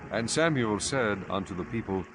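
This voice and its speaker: A man's voice: lowish voice, animated, moderate pace, noisy background. A man narrating scripture as the scripted narrator of an audio Bible drama. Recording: prominent music behind the narration.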